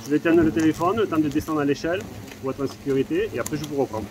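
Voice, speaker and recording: A man's voice: a little high, racing, heavy background noise. A man, giving informal, repetitive commentary to viewers as he works outdoors with a handheld phone. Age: 30s. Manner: informal, addresses viewers directly, repeats himself. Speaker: hornet nest exterminator and video host. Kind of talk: casual vlog commentary while working. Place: outdoors, on rooftops and narrow village streets. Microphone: handheld phone at arm's length.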